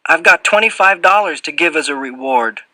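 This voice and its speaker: A man's voice: a little high, lively intonation, moderate pace, bright, forceful tone, no echo, voice pushed up, poor audio. A man voicing an anxious, wary young male pleading earnestly in an audiobook. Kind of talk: audiobook character dialogue.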